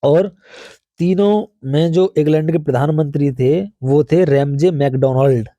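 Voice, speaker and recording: A man's voice: fairly high pitch, measured pace, dark tone, dry recording. A man with a North Indian accent teaching an informal exam review, explaining conversationally and answering his own questions.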